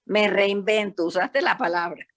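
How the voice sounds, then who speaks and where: An older woman from Panama speaks with a Panamanian accent on a talk panel. She tells her stories in an animated, emphatic way, her voice sitting in a middle pitch. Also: a little slow. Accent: Panamanian Spanish. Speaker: psychologist guest. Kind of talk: panel discussion.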